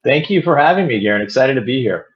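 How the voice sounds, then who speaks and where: A man speaking as an interview guest in a conversational, somewhat halting way. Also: medium pitch, expressive. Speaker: guest, audio drama producer. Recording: slightly dull, band-limited sound.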